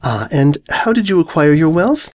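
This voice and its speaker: Refined female pony for a story, high and cuttingly polite; male reader puts her on. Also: sing-song, moderate pace, darkish tone, no room sound, clean studio sound. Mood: feigned politeness. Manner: prim, elaborate, dryly sarcastic diction.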